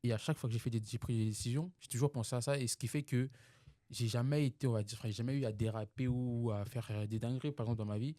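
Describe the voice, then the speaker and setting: A young man from Congo talks quickly and earnestly, thinking aloud with plenty of hesitations. The sound is dry and close. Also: mid-range voice, fairly even intonation, mellow, no echo. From Democratic Republic of the Congo. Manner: earnest, reflective, many fillers. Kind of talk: casual chat.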